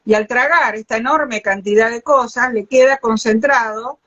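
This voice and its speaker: A woman's voice: a little high, normal speed, highs cut off. An older woman from Argentina with a Rioplatense accent, a biologist hosting a live interview and telling stories with many restarts. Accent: Rioplatense Spanish. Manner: animated storyteller, many restarts. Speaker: host, biologist. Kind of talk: live interview, casual chat. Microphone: video call.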